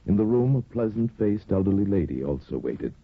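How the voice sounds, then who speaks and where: Deep-voiced old radio narrator. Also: male voice, big pitch swings, breathy edge, no echo. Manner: slow, measured, formal. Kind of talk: scripted radio drama narration. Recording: dull old radio broadcast with music.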